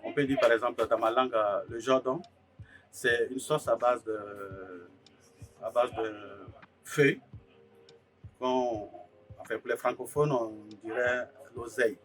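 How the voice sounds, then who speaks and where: A man's voice: medium pitch, steady tone, a little slow, long pauses, fairly bright tone, a little hiss or hum. A man from Burkina Faso, an agricultural technician giving a hesitant interview testimony. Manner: hesitant, lots of filler sounds. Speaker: agricultural technician, farm co-founder. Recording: somewhat distant mic, faint music under.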